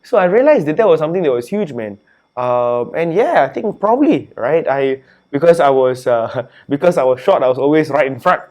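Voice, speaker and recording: A young adult man from Singapore, an author and entrepreneur speaking as a guest in a casual interview, relaxed and chatty, often saying "you know" and "man". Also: fairly high pitch, fairly quick.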